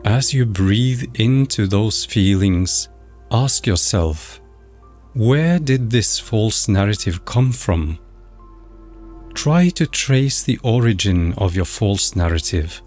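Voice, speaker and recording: A man narrating a guided meditation in a measured, instructional, scripted way. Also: on the low side, expressive, a little mellow. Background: background music.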